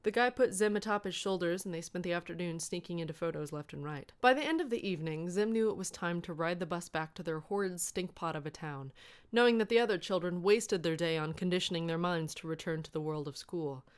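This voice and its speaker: A woman's voice: lowish voice, a little fast, clean tone. A woman reading scripted comic fan fiction aloud as its narrator.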